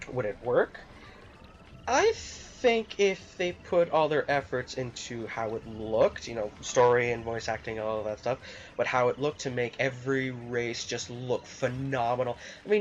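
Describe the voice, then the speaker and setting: A man talking casually and off the cuff as a gaming vlogger, in a rambling, hesitant way with repeated phrases. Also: mid-range voice. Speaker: video game YouTuber. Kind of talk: casual unscripted opinion vlog. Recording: dull lo-fi mic, music behind. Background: background music.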